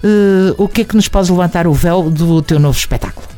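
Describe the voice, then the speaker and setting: A woman's voice: on the low side, quick pace, mellow. Effusive Portuguese woman interviewer over music. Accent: European Portuguese. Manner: effusive, affectionate, complimentary. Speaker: interviewer, show host. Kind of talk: conversational celebrity interview.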